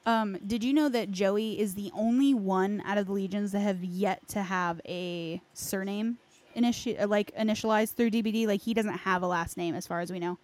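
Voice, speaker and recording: A woman's voice: medium pitch, moderate pace, darkish tone. A woman in casual podcast conversation about game lore, phrasing loosely with lots of "like" as a filler. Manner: hesitant phrasing with frequent "like". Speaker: podcast speaker.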